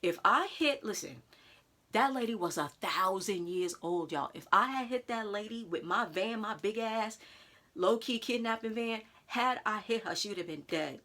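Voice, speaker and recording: A woman vlogger telling a personal story straight to her viewers in a casual, animated way. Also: medium pitch, normal speed. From United States. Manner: animated, talks straight to viewers with "y'all", acts out others' lines.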